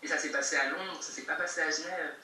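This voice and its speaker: A woman's voice: deep voice, steady tone, fast talker, sharp tone. A young woman from Geneva, answering interview questions in a casual, emphatic way over a video call. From Geneva, Switzerland. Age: young adult. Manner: emphatic, repeats herself, laughs between points. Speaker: guest rapper. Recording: echoey distant call audio with faint noise.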